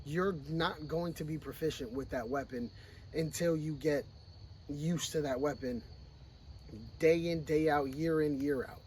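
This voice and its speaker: Hoarse man loudly coaching from a range. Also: on the high side, measured pace, slight background noise. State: sick, losing his voice. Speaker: firearms training vlogger. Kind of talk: casual talk to camera at a range. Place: outdoor shooting range.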